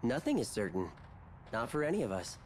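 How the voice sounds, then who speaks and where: A man voices a breezy, reflective adventurer from a video game. His medium-pitched delivery is lively and melodic. Clear noise runs behind his words. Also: a little fast, lightly acted. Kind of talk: video game cutscene acting. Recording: noticeable background noise.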